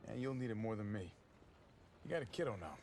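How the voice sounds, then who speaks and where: A man's voice: fairly low pitch, expressive, fast, darkish tone, a little hiss or hum. An actor playing a cocky, theatrical young soldier among his pals in a cinematic war game. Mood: casual, supportive. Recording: cinematic music behind the voice.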